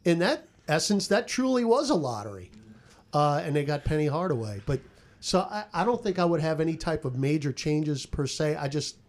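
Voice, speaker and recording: A man with a fairly high voice chats about sports as a panelist. He is conversational, often doubling back on his phrases as he works out a point. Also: very animated, moderate pace. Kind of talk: casual sports discussion.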